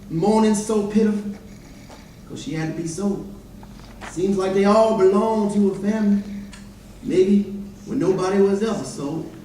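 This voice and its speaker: A man's voice: high voice, mellow. A man performing a sorrowful formerly enslaved witness in a folksy readers theater monologue. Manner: folksy dialect storytelling. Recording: echoey chapel from far off, noisy.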